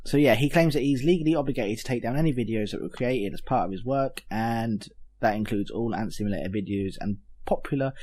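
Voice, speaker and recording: A man hosting a casual podcast discussion, with a slightly husky voice. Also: medium pitch, fairly quick, few pauses, a little mellow. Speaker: podcast host. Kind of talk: casual panel chat about games news.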